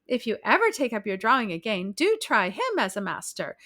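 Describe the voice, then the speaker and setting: A woman's voice: fairly high pitch, big pitch swings, a little fast, bright, forceful tone, heavily acted. A woman voicing a chatty, insistent society lady who gushes about a drawing teacher. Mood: gushing. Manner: gushing, persistent.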